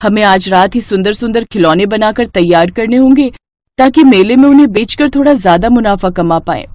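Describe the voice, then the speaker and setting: A woman's voice: mid-range voice, normal speed, a little mellow, dry recording, clean studio sound, close to an everyday voice. A woman voicing a devout, supportive wife of a poor village toymaker in a cartoon story. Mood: reassuring. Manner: reassuring.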